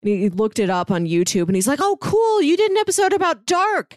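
A woman's voice: high-pitched, brisk, no room sound, clean studio sound. A woman co-hosting a podcast, talking in a chatty, informal way. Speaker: podcast co-host. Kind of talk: casual podcast chat.

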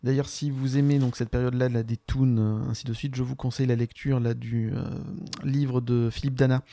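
A man's voice: medium pitch, little pitch movement, brisk, warm tone. A man hosting a podcast in a casual, conversational way, guiding the chat and adding comments. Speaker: podcast host.

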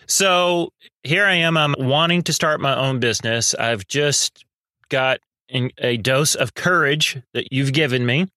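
Bright-voiced man hosting, dry close sound. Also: medium pitch, measured pace, no echo, poor audio. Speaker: podcast host. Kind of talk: conversational interview.

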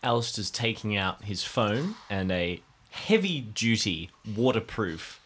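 A man's voice: on the low side, lively intonation, measured pace, fairly bright tone, breathy edge. A man chatting casually as a player in an improvised role-playing game, with a dry, wry manner. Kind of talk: casual tabletop role-play chat. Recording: faint background noise and ambience.

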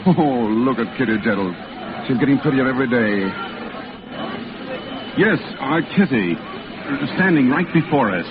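An actor playing a jovial young soldier home on leave in a 1940s radio drama, breezy and bantering. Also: male voice, mid-range voice, lively intonation, moderate pace, pauses often, background noise, lightly acted. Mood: cheerful. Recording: tinny old radio, busy crowd behind.

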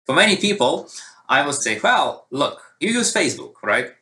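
A man's voice: fairly high pitch, normal speed. A man from Russia with a Russian accent, a database expert telling stories in an interview and acting out quoted dialogue. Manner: animated storytelling with quoted dialogue. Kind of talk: conversational interview answer.

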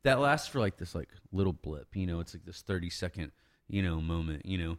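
A man's voice: sits low, expressive, fairly quick. A man in his thirties telling a long story in casual chat, rambling and swearing freely as a podcast co-host. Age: 30s.

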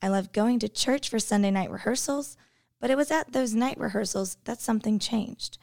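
A woman shares an earnest devotional reflection in a gravelly, creaky voice. Her voice is recorded dry and close, with no room sound. She speaks in a reflective, storytelling way. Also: a little high, normal speed, a little mellow, no echo. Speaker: devotion speaker, English teacher.

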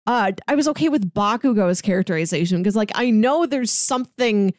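A woman's voice: medium pitch, animated, a little fast, no echo, studio quality. A woman chatting casually as a podcast panelist, peppering her talk with "like". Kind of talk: casual animated-series discussion.

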